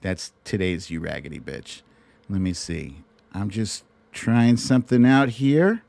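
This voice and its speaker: A man's voice: fairly low pitch, measured pace, vocal fry, darkish tone, no echo. A middle-aged man hosting a livestream, chatting casually and directly to his viewers in a rambling, conversational way. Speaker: host of a livestream show. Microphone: streaming microphone at a laptop setup.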